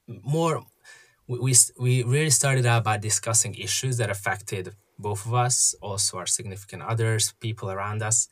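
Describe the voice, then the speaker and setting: A man from Hungary, a podcast guest explaining investing in a measured way with frequent pauses and fillers. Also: lowish voice. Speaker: guest, investment app founder. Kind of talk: podcast interview.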